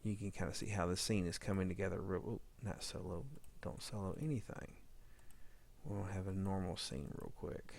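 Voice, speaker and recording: Low-voiced man thinking aloud. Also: normal speed, creaky, darkish tone. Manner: thinking aloud, muttering to himself while working. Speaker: audio drama editor giving a tutorial. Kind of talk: informal screen-demo narration.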